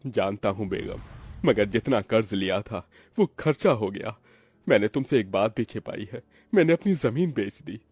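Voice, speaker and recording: A man's voice: lowish voice, lively intonation, normal speed, a little mellow, slightly rough, dry recording. A man voicing a poor, devoted uncle in a cartoon story, earnest and pious while hiding his sorrows. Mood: earnest, burdened. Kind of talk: Hindi cartoon story dialogue.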